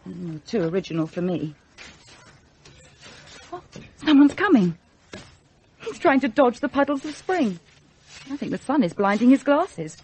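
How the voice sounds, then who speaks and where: A woman's voice: a little high, very animated, unhurried, pauses often, darkish tone, slight background noise. An actress playing a poised but insecure, romantic young upper-class Englishwoman in a radio play, hesitant and earnest. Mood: anxious, yearning. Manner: hesitant, self-conscious, earnest.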